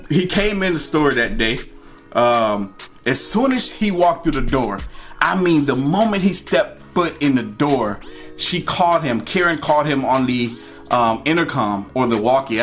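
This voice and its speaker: An American man telling a personal story in a casual, conversational way, acting out bits of dialogue and repeating phrases for emphasis. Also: mid-range voice. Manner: animated retelling, acting out dialogue. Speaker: storyteller and vlog host.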